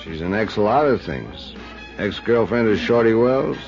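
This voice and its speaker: An actor playing a world-weary, bitter man who speaks slowly in a 1950s radio drama, on an old recording. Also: fairly low pitch, expressive, vocal fry. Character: bitter lovesick man, cynical and brooding. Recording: lo-fi vintage radio with music.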